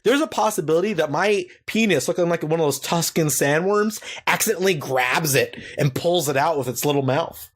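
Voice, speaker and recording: A man's voice: a little high, fairly quick, few pauses. A man co-hosting a podcast, talking in brash, crude, rambling banter and stories. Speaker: podcast co-host.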